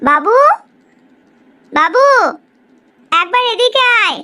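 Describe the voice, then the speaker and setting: A woman voicing a proud, sharp-tongued middle-aged village mother in a cartoon story, in an exaggerated, gossipy way. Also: very high voice, expressive, slow, full character voice. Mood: probing, pointed. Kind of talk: Bengali cartoon story dialogue.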